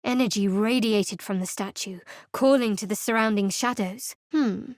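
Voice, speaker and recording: A woman's voice: mid-range voice, normal speed, dry recording, close to an everyday voice. An actress voicing a guarded, sardonic young female cleric in video game voice lines, with a cool, dry manner. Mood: wry.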